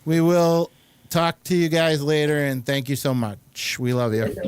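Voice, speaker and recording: A man hosting a casual interview, speaking in a halting, hesitant way with many pauses. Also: a little high, background noise. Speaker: show host and interviewer.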